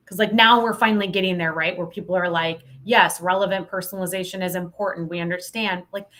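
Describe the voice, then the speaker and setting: A woman with a somewhat low voice talks as an interview guest. She is candid and emphatic, explaining her work in an informal, conversational style peppered with casual filler words. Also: fairly even intonation, quick pace, a bit bright. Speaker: podcast guest, sales consultant.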